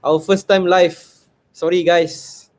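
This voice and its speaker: A man's voice: high-pitched, flat delivery, slow, a little mellow. A Singaporean man in his thirties co-hosting a casual live Q&A, reading out comments in colloquial speech mixed with Malay slang. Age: 30s. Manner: colloquial, code-switching with Malay slang, often laughing. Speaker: livestream co-host, motorcycle vlogger.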